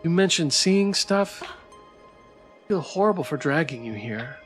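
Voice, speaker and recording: A man's voice: a little high, lively intonation, takes it slowly, pitched above the performer's own voice. A man voicing an anxious, weary young woman researcher in a visual novel, hesitant and troubled, over music. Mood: anxious, confiding. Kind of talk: visual novel character reading. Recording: music behind the voice.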